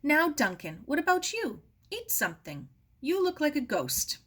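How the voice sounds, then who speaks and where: A woman voicing a worried, motherly storybook aunt who gently scolds and fusses. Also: sits high, big pitch swings, moderate pace, voice pushed up. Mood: anxious, coaxing.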